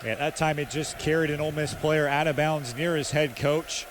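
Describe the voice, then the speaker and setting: American male color commentator, slightly high voice. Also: fairly even intonation, moderate pace. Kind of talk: live basketball analysis. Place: courtside in a sports arena. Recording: live arena broadcast with clear background noise.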